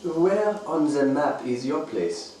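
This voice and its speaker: A man's voice: fairly high pitch, very animated, slow pace, warm tone. An actor playing an eccentric, overfriendly, shady man in an audio drama, chatty and cheerfully ominous. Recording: very echoey, distant, faint music behind.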